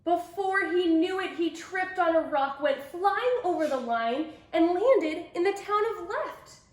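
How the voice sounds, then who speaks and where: A woman's voice: very high-pitched, animated, a little slow. A woman reading a picture book aloud to children, telling it expressively and with emphasis. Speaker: storybook reader. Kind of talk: children's picture book read-aloud with asides to young listeners. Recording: echoey room.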